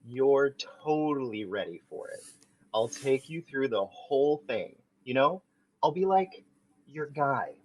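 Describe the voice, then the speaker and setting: A man's voice: medium pitch, expressive, a little slow, darkish tone, slight background noise, close to an everyday voice. A man reading a vain, bossy young man in an amateur table read. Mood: coaxing. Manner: bossy, coaxing, self-important.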